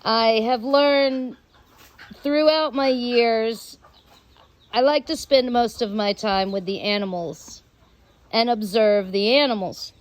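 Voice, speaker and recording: An American woman telling rambling personal stories in drawn-out, halting phrases with long pauses. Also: fairly high pitch. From United States. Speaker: storyteller host.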